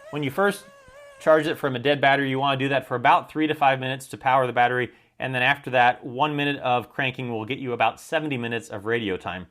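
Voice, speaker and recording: An American man with a medium-pitched voice talks through a product as a reviewer. His delivery is informal and explanatory, with a plain, matter-of-fact manner. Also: steady tone, fast talker, a bit bright.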